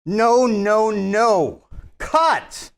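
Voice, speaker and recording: Exasperated perfectionist movie director in his sixties played by a man, very animated delivery, comedy table read. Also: sits high, drawn-out words, gravelly, clear, resonant tone, heavily acted. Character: bubbly mid-sixties perfectionist film director, demanding and exasperated.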